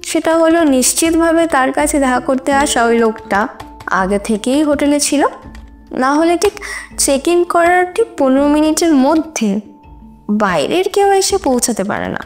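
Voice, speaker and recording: A woman playing a young student who testifies in court in an audio drama, earnest and emotive in a deliberate, composed but distressed way. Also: sits high, sing-song, faint music behind. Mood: earnest recounting. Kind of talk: Bengali audio drama acting.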